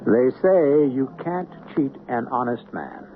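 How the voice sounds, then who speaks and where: A man hosting a suspense radio drama, narrating in a measured, ominous, philosophical manner. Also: mid-range voice, animated. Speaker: host and narrator. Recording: narrow old radio broadcast with music.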